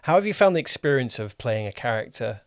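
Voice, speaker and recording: Male podcast host, mid-pitched voice, casual interviewer, dry recording. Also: big pitch swings, fast talker, no echo.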